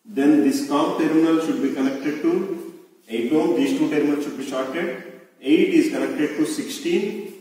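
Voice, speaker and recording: A young man gives a deliberate, repetitive instructional demonstration as a lab instructor, recorded at a distance in a very echoey hall. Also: fairly high pitch, warm tone. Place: large indoor lab room with hard surfaces.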